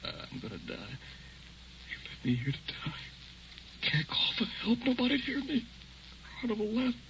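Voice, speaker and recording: A man's voice: very high-pitched, big pitch swings, normal speed. An actor playing a terrified, anguished man trapped in a coffin in an old-time radio drama. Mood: fearful muttering. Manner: anguished, muttering to himself then crying out. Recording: dull, noisy old radio recording.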